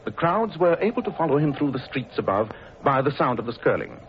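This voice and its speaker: Man playing a calm, learned detective. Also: mid-range voice, close to an everyday voice. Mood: lecturing. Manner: measured, lecturing, dryly amused. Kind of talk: 1940s radio acting. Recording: dull old radio recording with hiss.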